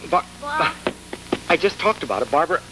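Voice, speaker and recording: A man's voice: high voice, huge pitch swings, a little fast, sharp tone, soft background music. A man hosting a live children's television game show, playfully prompting young contestants in an old broadcast recording. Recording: noisy old TV broadcast archive.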